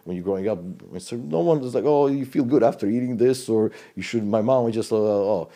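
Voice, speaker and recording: A man's voice: mid-range voice, brisk, mellow. A man from Serbia with a Serbian accent, hosting a casual interview and talking in loose, rambling phrases with frequent restarts.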